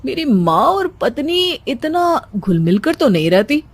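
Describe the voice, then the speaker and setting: A woman's voice: sits high, sing-song, a little slow, slight background noise, full character voice. A woman voicing a baffled husband and son in a cartoon story. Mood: astonished.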